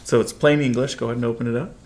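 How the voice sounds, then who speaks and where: A man's voice: medium pitch, fairly even intonation, rapid-fire, a little mellow, a little hiss or hum. A man in his forties with an American accent, explaining and coaching as a video host in a casual instructional style. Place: furnished living room, indoors.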